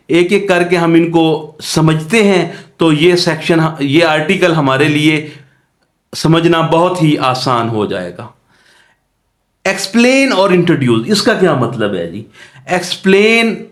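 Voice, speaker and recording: A man with a Pakistani accent teaching law in an explanatory lecture, posing questions and answering them himself in a didactic way. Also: high-pitched. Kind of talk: explanatory lecture with worked examples. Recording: echoey room.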